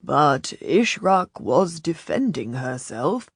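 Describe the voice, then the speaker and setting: Woman doing an old monk type in a storybook, solemn and measured, real low, drags slowly. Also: big pitch swings, slightly rough, no room sound, studio quality, exaggerated voice. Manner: measured, grave. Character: solemn, pious older churchman, gently stern.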